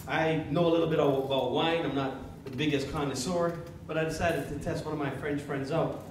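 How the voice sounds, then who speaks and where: A man's voice: on the high side, steady tone, fairly quick. A man from Jamaica performing stand-up comedy, telling stories on a club stage, recorded with echo and noise from a distance. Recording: echoey, noisy club, distant mic.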